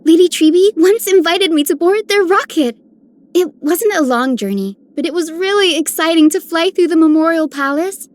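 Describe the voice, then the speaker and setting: A woman playing a gentle, caring young healer in a video game, sweet and polite. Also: very high-pitched, animated, normal speed.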